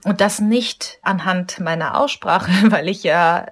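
A woman's voice: fairly low pitch, slow pace. Thoughtful woman pianist, hesitant speech. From Germany, Munich. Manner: thoughtful, hesitant with many fillers. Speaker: pianist, interview guest. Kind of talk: radio interview answers.

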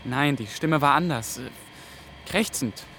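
A man's voice: mid-range voice, unhurried, sharp tone, a little hiss or hum. A man playing an earnest, anxious young intern who talks warily in a radio drama. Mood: thoughtful. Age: young adult. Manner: wary, questioning.

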